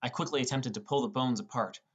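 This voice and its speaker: A man's voice: medium pitch, fast talker, slightly rough. A man reading a scripted first-person story as an audiobook narrator.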